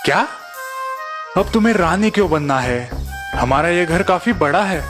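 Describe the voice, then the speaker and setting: A man voicing a poor, kindly fisherman husband in a children's cartoon, gentle and earnest. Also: sits high, animated, normal speed. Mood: gentle, puzzled. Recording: reverberant echo under background music.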